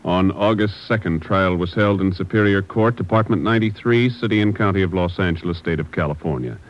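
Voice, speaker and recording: Casting: male American announcer, deepish voice, formal 1950s radio delivery, muffled archive sound. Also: a little fast. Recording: dull vintage radio recording, music behind.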